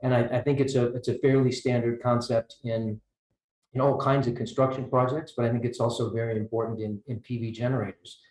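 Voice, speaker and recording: A man's voice: mid-range voice, flat delivery, a little fast, a little mellow. An American man speaking as a panelist in a discussion, with frequent restarts and repeated words as he thinks. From United States. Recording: roomy echo.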